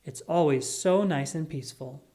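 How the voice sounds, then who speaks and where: A storybook reader voicing a thoughtful, bookish young girl who speaks calmly and politely. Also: medium pitch, moderate pace, lightly acted.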